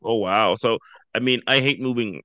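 A man hosting an interview, relaxed and casual, with frequent stumbling restarts. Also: mid-range voice, moderate pace, clear, resonant tone, dry recording. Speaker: podcast host.

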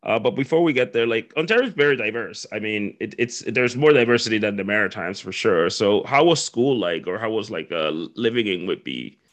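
A man hosting a podcast, asking questions casually with quick intros and frequent stumbling restarts. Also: lowish voice, expressive, fairly bright tone. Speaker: podcast host. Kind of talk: casual interview chat.

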